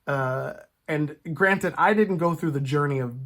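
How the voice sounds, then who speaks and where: A man hosting a casual, animated chat about film and TV, acting out imagined reactions as he goes. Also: on the high side, unhurried. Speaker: podcast host.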